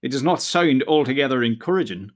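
A man's voice: fairly quick, natural voice. A man reading aloud, voicing a cheeky, complaining young male hobbit. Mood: grumbling. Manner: high, complaining, lively.

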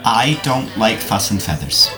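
A man's voice: fairly low pitch, sing-song, unhurried, rough recording. A young man playing a frank, gallant teenage boy in an audio play, blunt but courteous. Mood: disapproving. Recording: music playing behind the voice.

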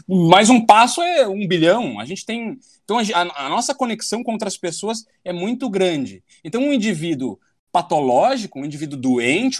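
A man's voice: high voice, no echo, studio quality. A man in his thirties, a podcast guest, talking at length in a rambling, philosophical way and swearing casually. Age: 30s.

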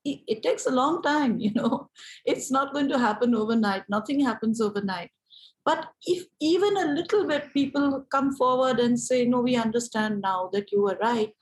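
A woman with an Indian English accent speaking in a measured, explanatory way, as an activist answering interview questions. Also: fairly high pitch, low-quality recording. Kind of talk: reflective interview conversation.

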